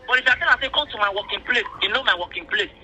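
Nigerian man, high voice, quick talker, pleading, emphatic, repetitive, phone conversation. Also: expressive, bright, forceful tone, husky. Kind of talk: earnest phone conversation in mixed English and Pidgin. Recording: phone call with music behind.

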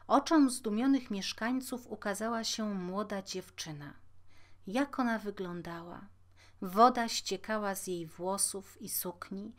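Woman, audiobook narrator, slow pace, mid-range pitch, measured expressive fairy-tale reading.

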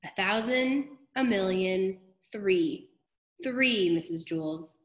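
A woman's voice: medium pitch, expressive, slow. A woman voicing a stubborn young schoolboy in a storybook, protesting and pleading to get to recess. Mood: earnest counting. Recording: echoey room.

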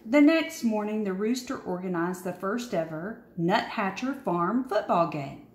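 A woman reading a children's picture book aloud expressively, with dramatic pauses and emphasis, recorded in a roomy, echoing indoor space. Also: medium pitch, expressive. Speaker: storybook reader.

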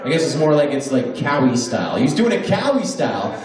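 A man's voice: medium pitch, very animated, fairly quick, crowd noise. Man doing stand-up in an echoey club. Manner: loose, conversational delivery with crude punchlines. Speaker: stand-up comedian. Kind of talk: stand-up comedy set. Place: small club stage. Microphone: handheld mic. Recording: echoey room recorded from a distance.

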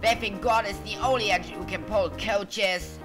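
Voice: medium pitch, normal speed, music in the background, natural voice. An actor voicing a vain, impatient male steam engine in a children's storybook, bossy and given to puffed exclamations. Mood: sulky, indignant. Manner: puffing repeated exclamations, boastful and bossy. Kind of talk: children's audio storybook with music.

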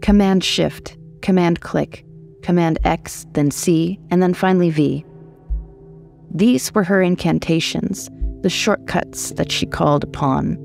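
A woman narrates horror fiction. Her voice sits very low with a lot of fry, wry and dramatic, over faint music. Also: darkish tone.

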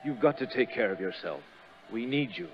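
Actor as an earnest major, muffled recording. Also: male voice, mid-range voice, expressive, natural voice. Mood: concerned. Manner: measured, earnest. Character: earnest, concerned male army major in command. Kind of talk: 1930s radio acting. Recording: muffled 1930s radio broadcast.

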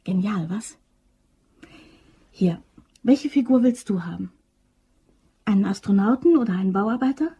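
A woman's voice: mid-range voice, expressive, unhurried, pauses often, dark tone, slightly rough, full character voice. A woman playing an eager, naive young woman in a German crime radio drama, chatty and insistent. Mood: excited, proud. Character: eager young woman devoted to her boyfriend, scheming, upbeat.

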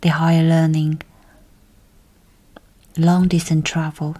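A woman leading a guided meditation in a soft, breathy, whispery voice, with gentle, measured, soothing delivery. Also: deep voice, flat delivery.

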